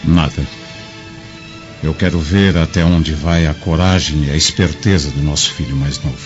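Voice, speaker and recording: An actor playing a stern, imperious Roman patriarch in a radio drama, commanding and severe. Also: male voice, rumbling low, fairly even intonation, normal speed, lo-fi sound, loud music behind the voice. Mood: cold, resolute.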